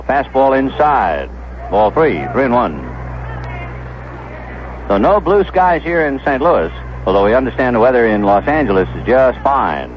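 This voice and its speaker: Male American baseball announcer, lively swooping intonation, conversational storytelling, play-by-play commentary, dull vintage radio sound, faint noise. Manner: conversational, descriptive storytelling between pitches. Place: ballpark broadcast booth. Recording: dull, noisy vintage 1970s radio broadcast.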